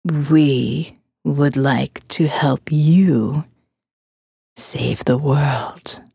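A woman voicing a remorseful undead woman in an audio novel, halting, grave and formal, with a gravelly, breathy rasp. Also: very deep voice, mellow, no echo, studio quality, voice pushed down, full character voice. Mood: solemn, remorseful. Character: Lucille, solemn remorseful undead woman, speaking slowly.

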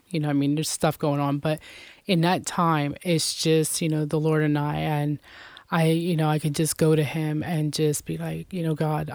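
A woman's voice: deep voice, darkish tone, no echo. A woman from Puerto Rico, a guest in a casual faith interview, talking in a chatty way with many fillers.

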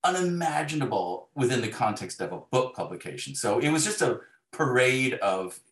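A man chatting casually as an interview guest, circling through his phrases in a halting way. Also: mid-range voice, very animated, fairly bright tone. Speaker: guest, novelist. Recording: roomy, echoey sound.

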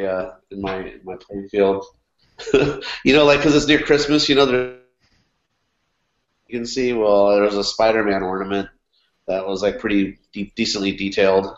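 A man with a cold-stuffed, nasal voice, chatting casually as a podcast co-host and rambling with lots of fillers and restarts. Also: fairly low pitch. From California. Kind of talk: casual chat. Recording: echoey room, dull lo-fi sound.

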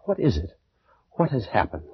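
Deep-voiced, anguished immortal Egyptian. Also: male voice, huge pitch swings, normal speed, slight background noise. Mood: stricken, anguished. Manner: grave, theatrical, formal diction. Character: Sosra, anguished immortal ancient Egyptian man, weary of life. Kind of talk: 1940s radio drama acting and narration. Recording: dull 1940s radio recording, music behind.

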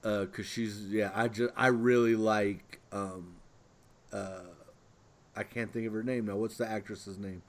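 A man's voice: on the low side, fairly even intonation, measured pace. A man co-hosting a podcast, chatting casually about pop culture. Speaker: podcast co-host.

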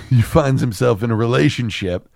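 A man's voice: mid-range voice, unhurried, vocal fry, a little mellow, no room sound, clean studio sound. A man chatting casually as a podcast co-host, rambling through stories with plenty of swearing. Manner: crude, swearing, rambling storytelling. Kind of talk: casual chat about a film.

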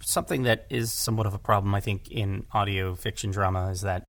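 A man's voice: on the low side, steady tone, fast, fairly bright tone, no echo. A man talking casually in an interview as an audio drama director, rambling and often correcting himself with emphasis.

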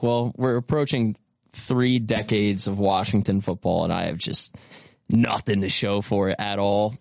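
A man's voice: fairly low pitch, no echo. A man co-hosting a casual sports chat, with dry, rueful humor. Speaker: podcast co-host.

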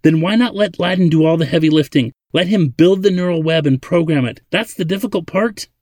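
Casting: male narrator as defensive, loyal android daughter, raised pitch, indignant and urgent, storybook drama. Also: brisk, a little mellow, no room sound, clean studio sound, lightly acted. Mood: angry. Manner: blurting, indignant, pleading. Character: defensive robotic daughter of an old inventor, fiery, loyal.

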